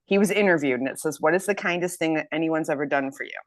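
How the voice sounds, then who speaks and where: A woman's voice: sits low, quick pace, dry recording, clean studio sound. A woman in her fifties sharing her health journey in a casual group chat, eager and reading a quote aloud. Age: 50s. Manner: enthusiastic, reads quotes aloud. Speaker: health program member.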